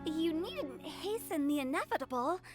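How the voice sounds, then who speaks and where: Spirited, very high-pitched young woman funeral director. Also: big pitch swings, moderate pace, over music. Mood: playful, teasing. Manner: theatrical, playfully formal.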